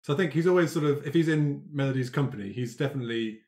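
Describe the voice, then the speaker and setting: A man chatting informally and a little haltingly as a tabletop roleplayer describing his character's backstory. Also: mid-range voice, darkish tone. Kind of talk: casual chat about his character's backstory.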